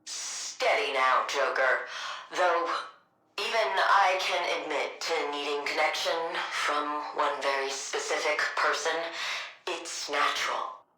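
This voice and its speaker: An actress playing a reserved, cerebral scientist villain in a video game, speaking in a formal, dry, guarded manner. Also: female voice, sits low, slow, pushed and bright, reverberant, faint music behind, full character voice, poor audio. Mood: wary.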